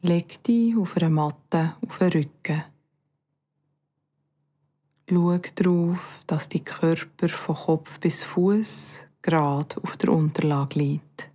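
A woman with a Bernese Swiss German accent guides a meditation as a yoga nidra instructor, calm and soothing, with long pauses and softly breathy phrase endings. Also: low voice.

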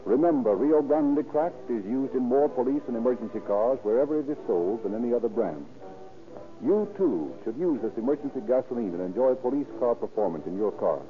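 Male vintage radio announcer, mid-pitched, formal, emphatic, thin old broadcast sound. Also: lively intonation, fairly quick, soft background music. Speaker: announcer and narrator. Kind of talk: 1930s radio scripted narration and ad reading. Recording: tinny 1930s radio broadcast.

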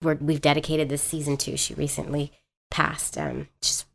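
Halting, earnest lower-voiced woman co-host. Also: flat delivery, creaky, over a noisy crowd. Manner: halting, repeats words, earnest. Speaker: podcast co-host. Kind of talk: casual conversation.

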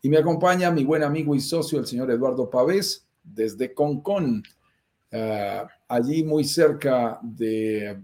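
A man's voice: medium pitch, measured pace, darkish tone. A man with a Colombian accent who presents a conversational live show in a courteous, explanatory manner. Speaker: host and commercial director of a real estate investment business.

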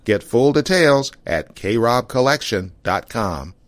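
A man's voice: mid-range voice, expressive, measured pace, very noisy. An American man reading scripted narration as a programme host. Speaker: podcast host and ad reader.

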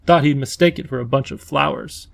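A man's voice: mid-range voice, fairly quick, vocal fry, dry recording. A man voicing a kindly, fussy older male professor who speaks in a flustered, old-fashioned way.

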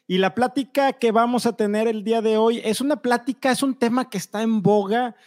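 High-voiced man hosting a live show. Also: steady tone, a little fast, a little mellow. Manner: chatty, upbeat, frequent fillers. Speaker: host. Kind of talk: live online interview show. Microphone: video call.

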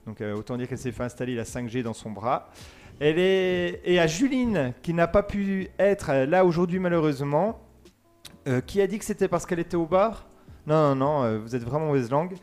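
A man's voice: a little high, lively intonation, fairly quick, darkish tone, faint music behind. Chatty joking male radio host. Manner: joking, chatty, teasing the guests. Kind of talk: casual student radio show hosting.

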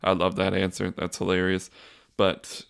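A young man hosting a casual solo sports podcast, talking in a flat, monotone, rambling way. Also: low-pitched, normal speed, vocal fry, clean tone. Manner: monotone, says so himself; relaxed, rambling with fillers and restarts. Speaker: podcast host.

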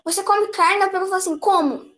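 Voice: very high-pitched, steady tone, quick pace, a bit bright. A teenager in casual chat who laughs and teases. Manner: laughing, teasing.

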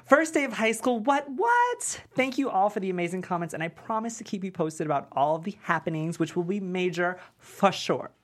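A woman's voice: mid-range voice, big pitch swings, brisk, few pauses. A woman playing a dramatic, chubby fourteen-year-old boy who fancies himself a star, gushing in teen slang at a comedy script table read. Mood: peppy, confiding.

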